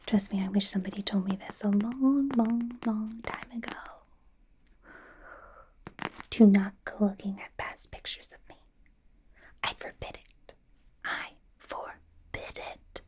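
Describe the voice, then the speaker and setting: A woman's voice: medium pitch, measured pace, a little mellow, close to an everyday voice. A woman playing a bubbly, devoted young K-pop idol and stylist in an ASMR roleplay, whispering in a gushing, rambling, playful way. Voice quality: breathy whisper.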